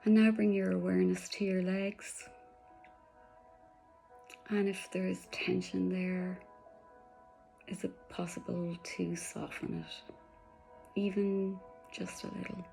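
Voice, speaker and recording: A woman leading a guided meditation in a soft, soothing voice, slipping at times into breathy whispers. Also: fairly low pitch, flat delivery, takes it slowly, long pauses, soft background music, low-quality recording.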